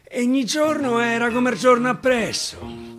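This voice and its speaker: A male stage actor with a Roman accent recites dialect verse theatrically, swinging from hushed to loud. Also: very high-pitched, moderate pace. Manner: dramatic, swinging from hushed to shouting. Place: radio studio. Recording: clear guitar music underneath. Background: plucked guitar accompaniment.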